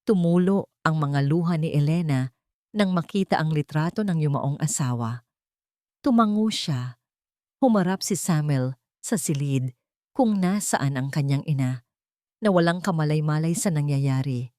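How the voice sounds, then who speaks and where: A woman narrating a scripted audio drama in a steady, solemn storytelling manner. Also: deep voice, measured pace, no echo, clean studio sound.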